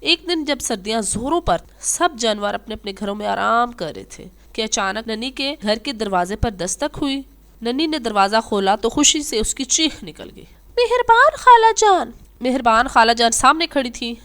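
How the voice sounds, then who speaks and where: A woman storyteller with a somewhat high voice. She reads a scripted moral tale for children, moving through it as narration. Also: lively intonation, moderate pace, a bit bright.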